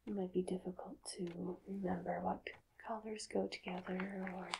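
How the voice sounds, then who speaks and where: A woman whispering softly and breathily in a deliberate, hypnotic ASMR medical roleplay as a doctor. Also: on the low side, flat delivery, vocal fry, noisy background. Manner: slow, soft, deliberate, hypnotic. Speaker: ASMR performer playing a doctor.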